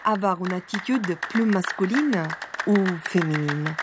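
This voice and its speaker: A woman from southern Italy, narrating a documentary in a calm, measured, reflective way. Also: fairly low pitch, over a noisy crowd. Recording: noisy recording.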